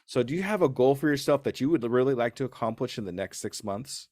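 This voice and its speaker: A man's voice: mid-range voice, expressive, quick pace, a little mellow, dry recording. Casual male podcast interviewer, dry close sound.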